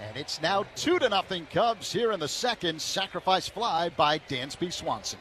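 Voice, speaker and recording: A man's voice: sits high, slight background noise. Man calling radio baseball play-by-play. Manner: measured, rises with excitement on big plays. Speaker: play-by-play announcer.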